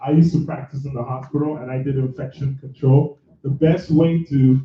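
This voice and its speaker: A man from Nigeria talks a live audience through a career workshop, restarting his sentences and laughing along with the room. He sounds distant from the microphone in a very echoey room. The recording is dull and muffled. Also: mid-range voice. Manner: chatty, self-correcting, laughs with the room. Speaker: career bootcamp host and mentor.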